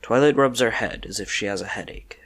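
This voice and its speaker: A man's voice: on the low side, brisk, fairly bright tone. A man narrating a scripted audio story.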